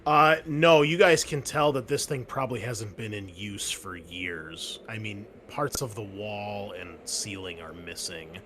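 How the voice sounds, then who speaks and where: A man narrating as game master, relaxed and full of ums and uhs. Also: fairly low pitch, unhurried, hardly any pauses, a little hiss or hum. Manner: relaxed narration with many fillers.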